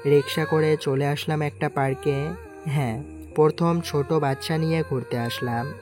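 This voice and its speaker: A man's voice: on the high side, fairly even intonation, moderate pace. A man narrating a scripted first-person story, voicing its dialogue as he goes. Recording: music clearly behind the voice. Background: music.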